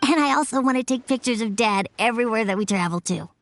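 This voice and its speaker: Game role: earnest, imaginative little boy storyteller, highish creaky voice, childlike delivery. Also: lively intonation, quick pace. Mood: earnest, hopeful. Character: earnest, imaginative young boy storyteller, devoted to his father. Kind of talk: video game voice acting. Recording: music behind the voice. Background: game music.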